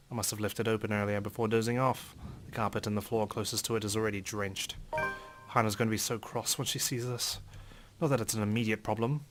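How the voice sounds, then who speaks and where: A man reading a visual novel aloud as theatrical first-person narration, in character as an indignant gentleman. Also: on the low side, a little hiss or hum. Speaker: let's player reading a game aloud.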